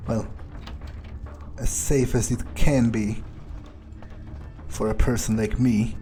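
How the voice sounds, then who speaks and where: A man's voice: on the low side, steady tone, takes it slowly, dark tone. A man roleplaying through a video game in character, thinking aloud in a sardonic way with plenty of swearing. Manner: sardonic, muttering, heavy swearing. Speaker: gameplay streamer roleplaying in character. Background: game music.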